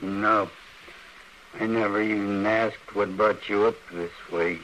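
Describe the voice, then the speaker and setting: An actor playing a weary, guarded and stubborn frontier husband in an old radio western, speaking in clipped phrases. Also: male voice, fairly low pitch, fairly even intonation, slow pace, a bit bright. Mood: tired, guarded. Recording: noisy vintage radio recording.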